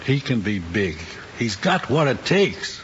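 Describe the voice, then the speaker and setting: An anxious, ambitious middle-aged father in a mid-century screen drama. He speaks earnestly and haltingly. The old soundtrack is dull, with a faint hiss behind him. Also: medium pitch, very animated, fairly bright tone. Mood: wistful, earnest.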